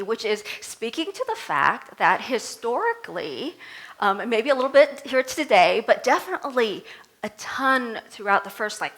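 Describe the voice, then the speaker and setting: Vietnamese-born woman preaching, chatty storytelling, jokes at herself, voice swoops up and down. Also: on the high side. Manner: animated storytelling with self-deprecating humor. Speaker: reverend giving a talk. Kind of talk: conversational sermon. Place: temple service.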